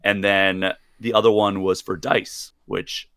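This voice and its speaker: A man's voice: on the low side, little pitch movement, a little slow, a bit bright, clean tone, no room sound, clean studio sound. A man from Maryland co-hosting a casual livestream, chatty and rambling, laughing easily. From Maryland, USA. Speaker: magazine livestream co-host. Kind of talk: casual chat.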